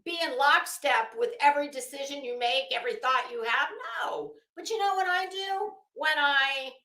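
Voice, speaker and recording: A woman's voice: sits high, normal speed, bright, forceful tone, roomy sound. A woman giving casual, opinionated commentary in a rambling, emphatic and blunt way, swearing freely. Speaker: online commentary host.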